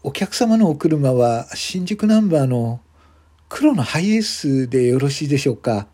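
Guy doing a nervous polite hotel parking worker, raised pitch, soft-edged husky, apologetic, radio drama. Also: very animated, normal speed. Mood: hesitant. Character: timid, polite young male hotel parking attendant.

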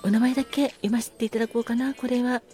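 High-voiced woman, polite and effusively grateful. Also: steady tone, fast talker, mellow, breathy edge, soft background music. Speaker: podcast host. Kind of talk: casual solo talk show, thanking listeners.

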